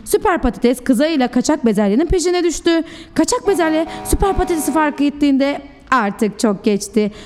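High-pitched woman reading a children's story. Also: fast talker, background noise. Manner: theatrical, clearly addressed to young children. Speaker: preschool teacher, storyteller. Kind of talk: expressive children's storybook narration.

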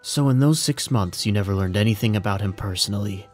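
Understated, unflappable captain, male actor, slightly deep voice, quiet authority for a police radio play. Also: soft background music, close to an everyday voice. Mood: calm, probing. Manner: dry, understated, unhurried authority. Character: dry, steady male police captain in command. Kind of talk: audio drama acting.

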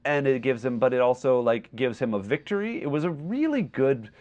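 A man co-hosting a podcast in a casual film discussion, talking in a halting, wordy way as he thinks aloud. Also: mid-range voice, animated, darkish tone. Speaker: podcast co-host.

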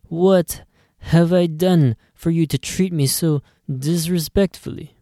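A man performs a proud, short-fused Italian deli clerk in a storybook skit, with a thick put-on Italian accent. The voice sits well above his own and lands loud and exclaiming. The sound is close and dry, with no room around it. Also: fairly high pitch, slow pace, no room sound, pitched above the performer's own voice. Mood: angry. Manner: theatrical, exclaiming.

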